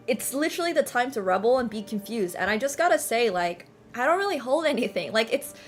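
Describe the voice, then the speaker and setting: Woman in her 20s, Finnish native, easygoing streamer chat, mid-pitched voice, faint music underneath. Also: a bit bright. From Finland. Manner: chatty, laughs often, lots of "like" and "bro". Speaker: livestreamer.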